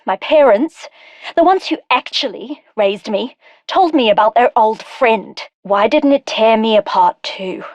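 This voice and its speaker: A woman voicing a sharp-witted, driven scientist in a video game, with a wry, intense, articulate delivery. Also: on the high side, expressive, a little slow. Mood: bitter. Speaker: game character voice lines. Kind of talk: scripted video game voice acting.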